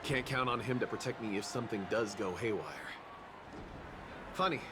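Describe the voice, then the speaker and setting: A man's voice: on the low side, moderate pace, background noise, natural voice. A man playing an insecure, bitter young son in a video game, emotional and sardonic. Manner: emotional, self-pitying, sardonic. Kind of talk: video game character dialogue. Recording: loud music behind. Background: loud game music.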